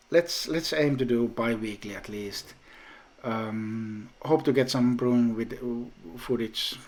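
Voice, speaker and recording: A man whose native language is Finnish, talking casually in a home brewing vlog update, with many pauses and restarts. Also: mid-range voice. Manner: slow, hesitant, many ums and restarts.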